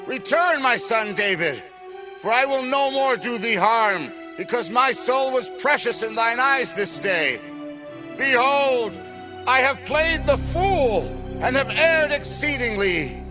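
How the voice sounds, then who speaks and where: Slow, high-pitched remorseful king. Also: expressive, exaggerated voice. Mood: anguished remorse. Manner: slow, emphatic, impassioned delivery. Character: remorseful aging king, emotional and fervent. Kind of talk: dramatized scripture acting. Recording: music behind the voice. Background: dramatic music.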